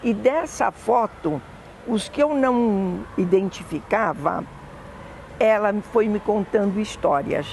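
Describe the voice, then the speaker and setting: An older woman with a Brazilian Portuguese accent, fondly recalling family memories in an oral history interview, often pausing to correct herself. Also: medium pitch, expressive, vocal fry, darkish tone, slight background noise, poor audio. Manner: fond, meandering reminiscing with self-corrections.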